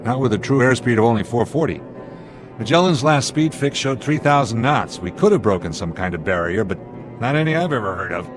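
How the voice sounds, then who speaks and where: An actor playing a steady airline captain in a radio drama, speaking with measured authority and a wry edge. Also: male voice, medium pitch, soft background music, lightly acted. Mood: grave, decisive. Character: steady, commanding male airline captain under pressure.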